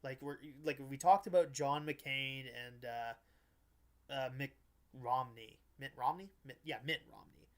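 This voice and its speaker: Man, podcast host, casual political discussion, blunt, halting, frequent false starts, mid-range voice. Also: medium pitch, steady tone, a bit bright.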